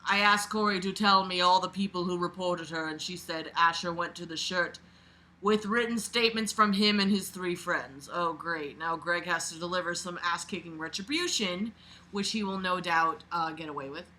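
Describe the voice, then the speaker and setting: A woman reading a book aloud as a host, casual and expressive, breaking off for sarcastic, sweary, mocking asides. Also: lowish voice, moderate pace, rough recording.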